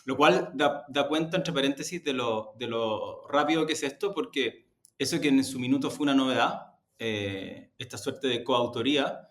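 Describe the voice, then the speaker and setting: A man's voice: mid-range voice, moderate pace. A man with a Chilean accent giving reflective interview answers, hesitating as he searches for words. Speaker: interview guest, audio production executive. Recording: roomy, echoey sound.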